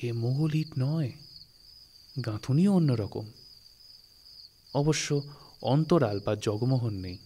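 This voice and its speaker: A man narrating a literary story in a dramatic, suspenseful way, with expressive phrasing and deliberate pauses. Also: mid-range voice, slight background noise. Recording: studio recording with music. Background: music and night insect sounds.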